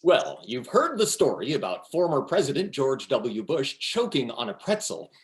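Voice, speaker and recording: Male voice, acted crass vain snack guy, extremely animated pitch swings, brash, bossy, stage comedy reading. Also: normal speed. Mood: self-important presenting. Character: crass, vain pretzel guy, proud of TV spot. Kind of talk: comedic play table reading.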